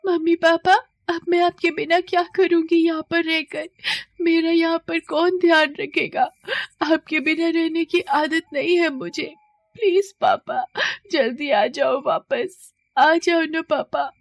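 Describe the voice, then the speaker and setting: A woman performs a grieving young woman in a storybook tale. Her voice is pitched very high, pleading and wailing like a helpless orphan. The recording is close and dry. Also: steady tone, measured pace, no room sound. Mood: weeping, pleading. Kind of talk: animated moral-story acting.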